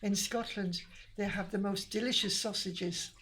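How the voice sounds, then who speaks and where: An older woman from Manchester speaks with a Lancashire accent at an everyday middle pitch. She reminisces in a chatty, meandering way, often restarting her sentences. A faint background hiss sits under her voice. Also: fairly even intonation, unhurried, a bit bright. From Manchester, England. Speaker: interviewee. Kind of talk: casual interview chat.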